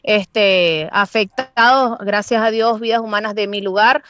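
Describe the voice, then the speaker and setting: A woman's voice: mid-range voice, steady tone, unhurried, a bit bright, lo-fi sound, slight background noise. A woman with a Venezuelan accent giving earnest, wordy spontaneous answers as a radio interview guest. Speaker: interview guest, donation drive volunteer.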